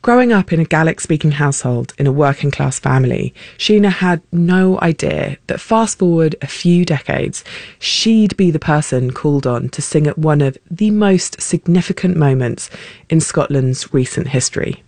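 A radio reporter narrating a scripted profile in a measured storytelling manner. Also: mid-range voice, lively intonation, creaky, darkish tone, dry recording.

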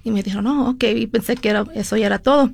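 A woman's voice: fairly high pitch, very fast, creaky, darkish tone, dry recording. A middle-aged woman from California, a lawyer and interview guest, tells her story in a chatty way, with frequent fillers and switches into English. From California, USA. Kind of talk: conversational storytelling.

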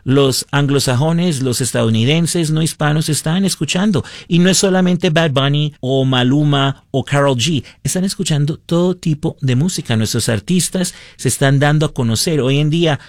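A man from the United States hosting a radio show, giving measured, earnest commentary addressed to listeners. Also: a little high, no echo, clean studio sound. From United States, born to Colombian parents. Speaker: radio host. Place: radio studio.

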